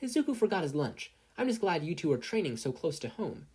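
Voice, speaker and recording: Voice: mid-range voice, very animated, brisk, darkish tone, breathy edge, voice pushed up. A podfic reader voicing a fussy, worried, doting mother of a teenage boy. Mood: friendly.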